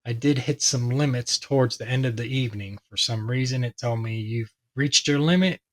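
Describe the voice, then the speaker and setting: Hoarse man, rambling tech explainer. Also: medium pitch, normal speed. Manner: rambling, conversational, many fillers. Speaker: tech video host. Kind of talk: casual explainer talk.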